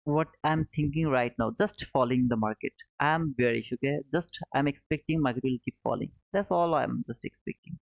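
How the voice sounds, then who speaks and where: A man's voice: mid-range voice, fairly quick, gravelly, few pauses. A man hosts a live stream, casually answering viewers in short, repeated phrases with chummy, informal address. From Bangladesh. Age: 30s. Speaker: live trading stream host. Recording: narrow phone-like sound, roomy echo.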